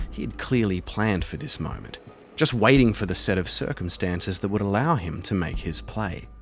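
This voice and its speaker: Low-pitched man narrating over music. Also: fairly bright tone. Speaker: host and solo role-player of an audio drama. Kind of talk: scripted story narration mixed with casual game play-by-play.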